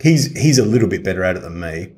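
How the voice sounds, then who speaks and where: A man's voice: sits low, lively intonation, fast talker, darkish tone. A man talking casually as a podcast co-host. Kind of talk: casual chat.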